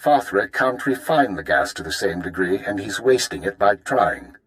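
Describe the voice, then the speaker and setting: Stern imperial handler, game briefing. Lowish voice, formal, exact, commanding. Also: male voice, big pitch swings, fairly bright tone, poor audio. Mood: stern, businesslike. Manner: measured, precise, authoritative briefing. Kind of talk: video game voice acting.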